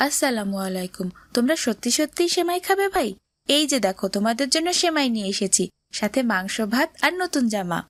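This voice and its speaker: A woman's voice: high-pitched, sing-song, a little fast, a bit bright, no room sound, clean studio sound. A woman voicing a kind, earnest neighbour boy who talks in a moralising way in a cartoon drama. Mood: kindly, cheerful.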